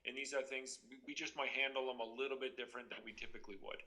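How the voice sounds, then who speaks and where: A man with a bright, forceful voice at a middle pitch answers interview questions as a police trooper, explaining how the job is done. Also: flat delivery, rapid-fire, a little hiss or hum.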